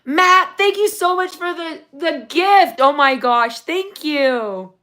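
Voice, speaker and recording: A woman hosting a casual livestream from her phone, reading viewer comments, her voice going raspy from talking so long. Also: high-pitched, takes it slowly. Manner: chatty, excited when thanking viewers for gifts. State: losing her voice, getting raspy. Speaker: livestream host.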